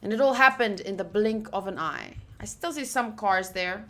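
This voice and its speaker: A woman streamer reading a visual novel's narration aloud during a playthrough, with expressive, gushing asides. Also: medium pitch, normal speed. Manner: expressive, gushing asides between read passages.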